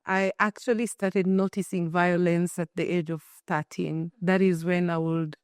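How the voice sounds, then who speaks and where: A Kenyan woman in her thirties, telling her life story as an interview guest in an earnest, expressive way with many restarts. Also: lowish voice, moderate pace, clear, resonant tone, dry recording, studio quality. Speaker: guest, shelter founder.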